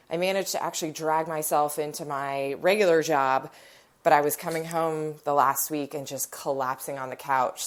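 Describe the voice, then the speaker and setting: A woman hosting a podcast, stuffy and nasal from a cold, chatting in a rambling storytelling way, recorded on her phone in her living room. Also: sits low. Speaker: podcast host. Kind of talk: casual chat.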